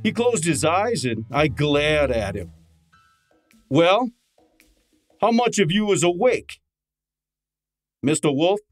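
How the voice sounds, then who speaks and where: Male actor as cheeky wisecracking gumshoe assistant; animated, fairly high voice, radio drama, music faintly behind. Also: takes it slowly, pauses often, no echo. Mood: cheeky, needling. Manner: brash, wisecracking, informal. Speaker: actor and narrator in an audio detective drama. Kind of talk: old time radio style acting and narration.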